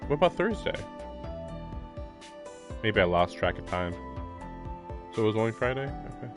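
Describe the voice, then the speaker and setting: A chatty man streams a visual novel, his voice on the low side. He reads lines aloud between casual remarks and frequent laughs, with game music playing behind him. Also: big pitch swings, moderate pace. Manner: chatty, laughs often, filler-heavy.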